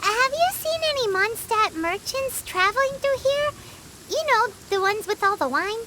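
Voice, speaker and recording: A woman voicing a small, chirpy, excitable fairy companion with an eager, childlike manner in a video game. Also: extremely high pitch, moderate pace, slight background noise, exaggerated voice. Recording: loud game music behind.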